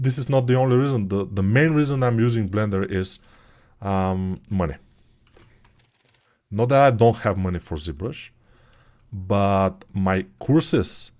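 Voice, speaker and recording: A man with a Bulgarian accent leads a relaxed live-stream tutorial, answering chat as he works. He talks at an unhurried, slow pace in a mid-range voice, rambling with fillers and restarts. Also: mid-range voice. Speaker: 3D art instructor.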